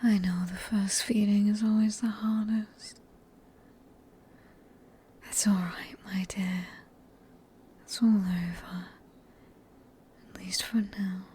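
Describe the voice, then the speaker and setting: A woman with a British accent playing a sadistic, possessive female vampire in a whispered ASMR roleplay, breathy and teasing, with condescending endearments. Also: medium pitch, little pitch movement, slow pace, long pauses, dark tone. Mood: soothing, possessive.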